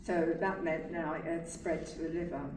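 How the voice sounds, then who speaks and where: A woman with a soft voice and an English accent giving an earnest, reflective personal testimony at an event. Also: sits low, fairly even intonation, normal speed. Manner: earnest and reflective, speaks from faith. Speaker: carer speaking at a carers' event. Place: event hall. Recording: echoey hall, distant mic, room noise.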